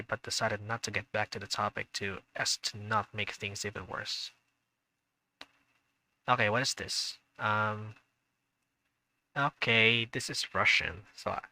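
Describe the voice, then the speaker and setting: A man's voice: fairly low pitch, pushed and bright, no echo, low-quality recording. A man streaming a visual novel, reading the narration aloud and adding chatty, hesitant asides. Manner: chatty asides, hesitant with fillers when unsure. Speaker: gaming streamer.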